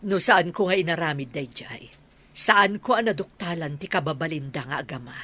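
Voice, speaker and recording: A woman's voice: low-pitched, lively intonation, moderate pace, a bit bright. A woman playing an elderly village mother, affectionate but aggrieved, in an Ilocano radio drama, with an emphatic motherly manner. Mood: indignant.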